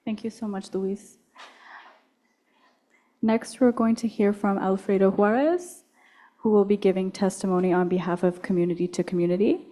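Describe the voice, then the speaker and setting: A woman's voice: mid-range voice, steady tone, normal speed, pauses often, dark tone. A woman moderating a public hearing, thanking one speaker and introducing the next. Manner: brief, formal introductions. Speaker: session moderator.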